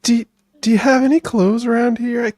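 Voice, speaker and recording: A man's voice: very high voice, fairly even intonation, brisk, a little mellow, dry recording, voice pushed up. A man voicing a timid, flustered woman in an amateur sci-fi radio play.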